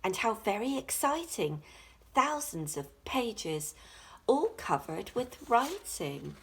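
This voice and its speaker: A woman teacher reading a rhyming children's picture book in a dramatic, deliberate, expressive way. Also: fairly high pitch, creaky, husky.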